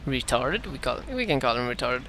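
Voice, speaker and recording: A Swedish-accented man with a slightly dry throat talks through his own strategy game match as a beginner commentator, at a middle pitch. He stops and restarts often, filling the gaps with "uh" while he fixes his wording. A faint hiss of noise sits under his voice. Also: very animated, bright, forceful tone. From Sweden. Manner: halting, frequent "uh" fillers and self-corrections. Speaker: gameplay commentator.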